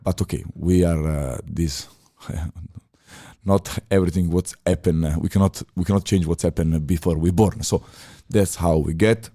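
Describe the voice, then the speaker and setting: A man's voice: low voice, fairly even intonation, dark tone. A man in his thirties with an Italian accent, a guest on a podcast, speaking English as a second language with many fillers and restarts. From Italy. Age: 30s. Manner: halting non-native phrasing with many fillers and restarts. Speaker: podcast guest, former chef. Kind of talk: casual interview talk.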